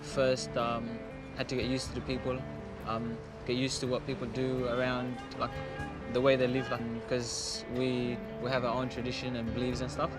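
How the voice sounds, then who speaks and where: A man's voice: medium pitch, completely flat delivery, normal speed, over loud music. A young man from South Sudan speaking hesitantly, with many "um" and "like", in a documentary interview.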